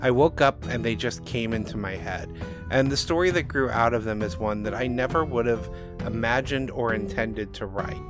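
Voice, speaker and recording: A middle-aged man speaking as author and host in measured, scripted podcast commentary. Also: mid-range voice, over music.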